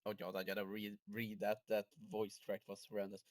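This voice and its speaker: A man's voice: on the low side, monotone, fairly quick, no echo, clean studio sound. A man speaking casually in his own voice as he records voices for a game playthrough. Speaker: dub narrator and voice actor.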